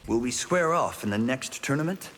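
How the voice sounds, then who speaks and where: An actor playing a humble, good-natured martial-arts champion in a video game, courteous and sincere. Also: male voice, medium pitch, lively intonation, moderate pace, a bit bright, a little hiss or hum, natural voice. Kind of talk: video game character dialogue. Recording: long reverb, faint background ambience.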